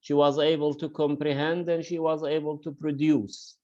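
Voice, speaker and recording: A man's voice: on the high side, flat delivery, moderate pace, warm tone, muffled recording. A man lecturing in an online class, hesitant and full of fillers as he encourages his students. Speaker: university lecturer.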